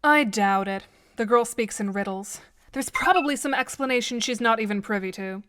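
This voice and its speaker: A woman's voice: a little high, normal speed, noisy background. A woman playing a dry, composed teenage girl in an audio drama, speaking formally as if over a call.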